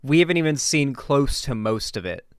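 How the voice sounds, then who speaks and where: A man's voice: medium pitch, animated, moderate pace, clear, resonant tone, no echo, studio quality. A man doing casual live stream commentary as he reacts to videos and chats with viewers, informal and sweary with gamer slang.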